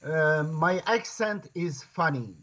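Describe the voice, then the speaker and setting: A man with a Kurdish accent speaking as a guest in a discussion, phrasing things in a halting, deliberate way. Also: a little high, lively intonation, fairly bright tone. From Turkey. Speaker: guest, scholar and author. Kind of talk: conversational discussion. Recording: dull lo-fi online call.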